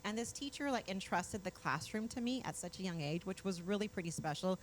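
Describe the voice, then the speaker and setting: Chatty, bubbly female morning-show host, mid-pitched, faint hiss behind her. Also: fairly quick, low-quality recording. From Mexico. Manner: chatty, bubbly, explains with enthusiasm. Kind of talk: casual studio chat.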